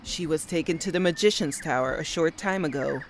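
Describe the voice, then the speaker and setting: An actor voicing an earnest, formal guide from a fantasy realm in a comic-book dub. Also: male voice, on the high side, brisk, a little hiss or hum, close to an everyday voice. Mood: solemn. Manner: formal, grave.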